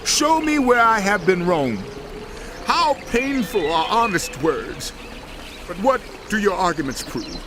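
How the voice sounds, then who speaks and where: A man's voice: high voice, big pitch swings, a little slow, background noise. An actor playing an anguished, suffering biblical man who laments and protests with passion in a dramatized scripture reading. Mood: indignant, pleading. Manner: impassioned, lamenting.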